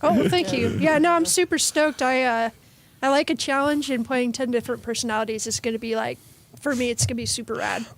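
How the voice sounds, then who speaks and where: High-voiced, bubbly woman chatting casually. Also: steady tone, brisk. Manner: bubbly, slangy, informal. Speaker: actress being interviewed. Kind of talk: casual chat.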